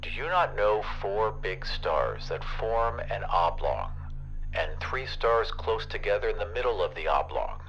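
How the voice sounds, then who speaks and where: A man's voice: fairly low pitch, lively intonation, unhurried, fairly bright tone, dull audio, slight background noise. Radio-filtered earnest, restless son played by a man. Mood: wistful, musing. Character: earnest, restless, queer-tempered son yearning for the stars. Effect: radio transmission filter. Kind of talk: audio drama character dialogue. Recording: band-limited radio-like sound.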